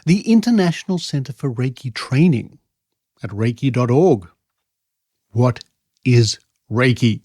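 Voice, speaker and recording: A man with an Australian accent hosting a podcast in a conversational monologue, wry and musing, with deliberate pauses for emphasis. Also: mid-range voice, expressive, dark tone, no echo, studio quality. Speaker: podcast host.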